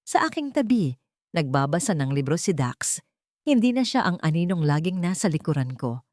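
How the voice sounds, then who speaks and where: Low-pitched woman narrating a dramatic story. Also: sing-song, moderate pace, a little mellow, no room sound, studio quality. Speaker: audiobook narrator. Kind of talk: scripted first-person dramatic story narration.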